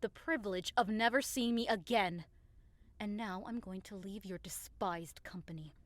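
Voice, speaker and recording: A woman's voice: medium pitch, normal speed, no room sound, natural voice. A woman playing a passionate, scornful young lover in an audio drama, with emphatic, dramatic delivery. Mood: angry, scornful. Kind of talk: theatrical audio drama acting.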